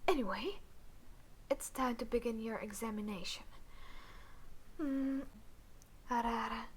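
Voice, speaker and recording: Woman playing a slow, cool alien abductor doctor. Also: on the high side, a little hiss or hum, lightly acted. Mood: deadpan. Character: cool, clinical female alien abductor doctor, quietly teasing. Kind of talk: roleplay audio drama spoken to the listener.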